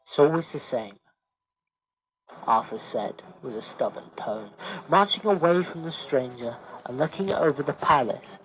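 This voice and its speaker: A man's voice: medium pitch, animated, normal speed, fairly bright tone, poor audio. A man reading a scripted story as a dramatic narrator. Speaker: story reader. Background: music.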